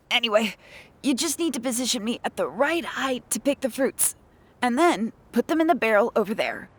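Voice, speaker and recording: A woman's voice: fairly high pitch, expressive, normal speed, a little hiss or hum, natural voice. A woman voicing a weary, matter-of-fact creature bartender in a fantasy game, plain-spoken and lightly dramatic. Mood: amused, instructive. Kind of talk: fantasy game character acting.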